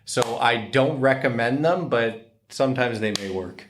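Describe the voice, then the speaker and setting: A man in his twenties co-hosting a casual couple Q&A vlog, relaxed and joking, speaking into a desk microphone in a small room. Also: medium pitch, unhurried, a little hiss or hum. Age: 20s. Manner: relaxed, joking, matter-of-fact. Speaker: co-host, hockey player. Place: small bright room indoors.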